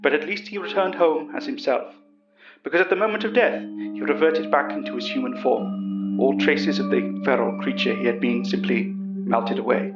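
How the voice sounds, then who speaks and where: A man's voice: mid-range voice, moderate pace, loud music behind the voice, natural voice. A man playing an elderly English professor who earnestly recounts a horror story, in a roomy, slightly dull recording. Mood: grave. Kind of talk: first-person horror audio drama narration.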